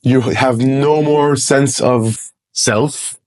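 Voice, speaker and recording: A man's voice: mid-range voice, steady tone, very slow, a little mellow, poor audio. Blunt, profane man in his forties. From Lebanon. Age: 40s. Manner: blunt, profane, emphatic advice. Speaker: guest investor. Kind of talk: casual podcast interview.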